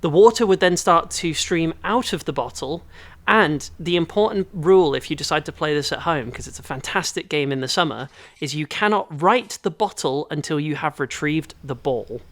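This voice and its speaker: A man's voice: fairly high pitch, normal speed, a bit bright. A man from Belgium chatting casually as a podcast co-host.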